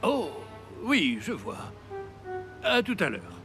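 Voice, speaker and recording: A man's voice: high voice, wildly swooping pitch, takes it slowly, music in the background, heavily acted. A man voicing a kindly, weary, elderly Santa Claus in a children's cartoon, fatherly and earnest. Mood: serious.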